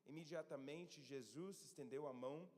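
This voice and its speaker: A man preaching a sermon in a church and reading scripture aloud, often pausing to search for words and correct himself. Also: fairly high pitch, darkish tone. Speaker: pastor. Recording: long church hall reverb.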